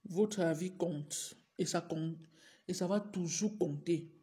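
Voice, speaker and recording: Woman, adult teacher, has a cold, clears her throat; slow, repetitive live-stream pleas. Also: on the low side, mellow. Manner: repetitive, urging viewers over and over. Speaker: teacher livestreaming to followers. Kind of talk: casual live-stream address.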